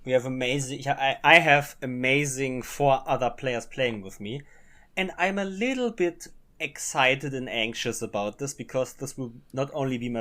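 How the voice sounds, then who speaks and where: A man's voice: medium pitch, sharp tone. A man whose first language is German, co-hosting a casual tabletop-gaming podcast in a playful, chatty way full of restarts. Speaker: podcast co-host. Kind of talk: casual chat. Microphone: webcam setup, home recording.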